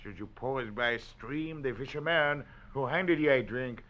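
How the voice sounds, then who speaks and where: A man's voice: medium pitch, a little slow, fairly bright tone, close to an everyday voice. An actor playing a sleek, cunning, mocking man who is a master of disguise, in a 1940s radio drama. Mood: smug, taunting. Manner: smug, taunting. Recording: dull old radio recording.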